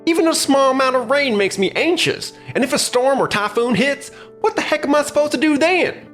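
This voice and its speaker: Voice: sits high, lively intonation, fairly quick, fairly bright tone, faint music behind. A performer playing an angry, foul-mouthed tenant in a manga dub, confrontational and sarcastic. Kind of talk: scripted manga dub acting.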